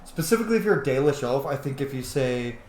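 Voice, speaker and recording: Brief: young male streamer, lively and profane; roomy mic, light hiss. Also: medium pitch, fast talker. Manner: animated, swearing, reacting. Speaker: roleplay game streamer. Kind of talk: casual game commentary. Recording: roomy room with faint noise.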